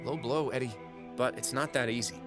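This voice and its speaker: A man's voice: mid-range voice, steady tone, brisk, close to an everyday voice. Flippant trickster god, played by a man, over music. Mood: grudging, wary. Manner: sardonic, wheedling, casual banter. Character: flippant, fast-talking minor trickster god, lately a frog. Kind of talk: audio drama acting.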